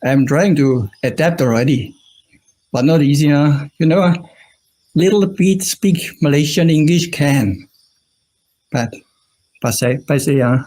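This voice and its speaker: A man's voice: a little high, slow, pauses often, dark tone, rough recording. A man with an Austrian accent giving an informal Buddhist talk as a monk, conversational and often turning to his listeners with questions. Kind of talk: informal dhamma talk to an online audience.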